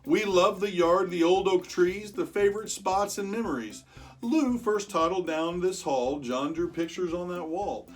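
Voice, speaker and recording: A storyteller reading a rhyming picture book aloud to children in an expressive way, stretching words for emphasis and chatting with young listeners. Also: mid-range voice, unhurried, faint music behind. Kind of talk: animated children's read-aloud of rhyming verse.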